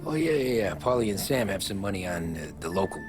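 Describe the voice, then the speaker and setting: An actor playing a tough, streetwise mobster who recounts his past with laconic calm. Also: low-pitched, fast. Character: seasoned male mobster telling his story. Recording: game cutscene with music.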